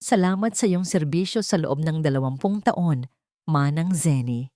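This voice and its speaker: A woman's voice: deep voice, normal speed, mellow, dry recording, studio quality, close to an everyday voice. A woman voicing a cold, falsely polite, menacing wealthy female villain in an audio story. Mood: icy false politeness. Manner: venomous, controlled, threatening.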